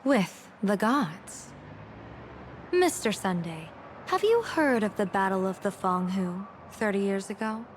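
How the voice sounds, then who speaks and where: A woman voice acting a shrewd, composed general and diviner in a game cutscene, speaking in a measured, formal, theatrical way. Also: mid-range voice, animated, slight background noise. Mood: knowing, confident. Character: shrewd, composed female general and diviner, secretly gambling against gods.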